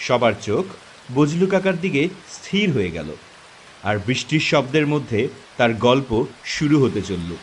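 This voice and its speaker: A man's voice: medium pitch, big pitch swings, normal speed, slight background noise. A man narrating a scripted horror story in a dramatic, suspenseful style.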